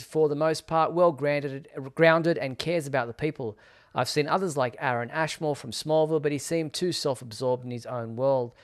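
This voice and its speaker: A man's voice: mid-range voice, clean tone. A man casually hosting a live show, presenting and reading out viewer messages.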